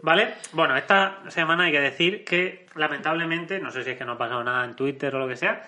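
A man hosts a casual podcast chat with a slightly raised pitch. His voice is very bright. He is playful and steers each segment along. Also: brisk. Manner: playful, leads segments, keeps asking ¿vale?. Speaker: podcast host.

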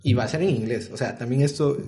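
A man's voice: mid-range voice, fast talker, a crowd in the background. A man who hires programmers, chatting casually on a panel and explaining with everyday examples. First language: Spanish. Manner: relaxed, explains with examples, many fillers. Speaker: tech interviewer on a panel.

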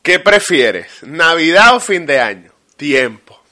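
Un hombre con acento venezolano, copresentador de un podcast, que charla de forma enfática y con jerga. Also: male voice, fairly high pitch, very animated, takes it slowly, sharp tone. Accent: Venezuelan Spanish. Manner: emphatic, slangy storytelling. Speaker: podcast co-host. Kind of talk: casual chat.

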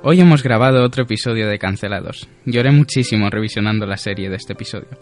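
Rambling young Spanish man, low voice. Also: quick pace, faint music behind. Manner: rambling, with frequent restarts and laughter. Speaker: podcast co-host. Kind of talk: casual chat.

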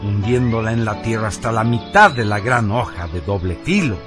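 A man narrating a scripted radio serial in a solemn, theatrical and deliberate way. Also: on the low side. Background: music.

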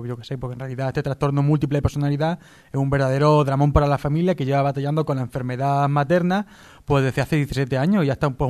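A man with a Spain accent casually reviewing a TV series as a radio presenter. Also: a little high.

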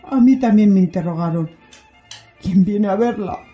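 An actress playing an anxious, devout housekeeper who is given to wailing exclamations in a comic radio play. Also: female voice, mid-range voice, normal speed, highs cut off, close to an everyday voice. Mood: indignant, recounting. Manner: wailing exclamations and pious cries. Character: excitable, devout housekeeper, anxious and doting on her master. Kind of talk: comic radio theatre acting. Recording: roomy echo with music behind.

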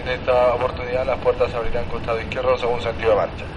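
A man's voice: medium pitch, completely flat delivery, racing. A man reading a scripted announcement as a train announcer. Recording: noisy train public-address recording.

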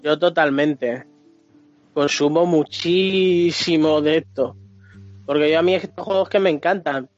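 A man's voice: a little high, measured pace. A man with an accent from Spain chatting casually as a podcast panelist, colloquial and emphatic, repeating himself to make his point. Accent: Spanish from Spain. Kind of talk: casual opinionated chat about games. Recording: muffled podcast audio, music underneath.

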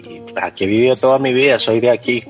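A man's voice: medium pitch, fast talker, soft background music. A man from New York City chatting casually as an interview guest, with slangy, emphatic talk and frequent repeated phrases. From New York City, United States. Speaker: music artist being interviewed.

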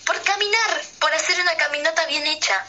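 A woman's voice: extremely high pitch, brisk, sharp tone. A young woman co-hosting a radio show, giving opinions in casual, slangy chat. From Argentina.

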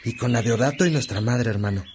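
An actor playing a devoted brother fondly recalling family in a radio drama, with birds singing behind him. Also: male voice, medium pitch, fast talker, noisy background, natural voice. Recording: clear birdsong ambience behind the voice.

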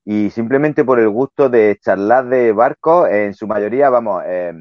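Low-voiced man, lo-fi call. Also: measured pace, no echo. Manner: relaxed, rambling explanations. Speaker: guest, sailing youtuber. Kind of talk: casual video interview. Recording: dull lo-fi sound.

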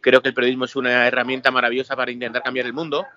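Madrid guy answering interview questions, bright forward voice, lots of eh and restarts. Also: mid-range voice, monotone, rapid-fire, highs cut off. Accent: Madrid Spanish. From Spain. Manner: hesitant, restarts sentences, many fillers. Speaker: journalist guest. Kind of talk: casual interview.

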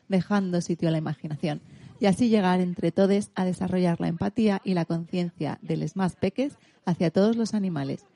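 Woman host from a kids radio show, spain accent, mellow voice, dry sound. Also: mid-range voice, dry recording. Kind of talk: children's radio show presenting.

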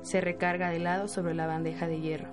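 A woman's voice: fairly low pitch, level tone, fairly quick. Woman narrating over music. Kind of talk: scripted radio drama narration.

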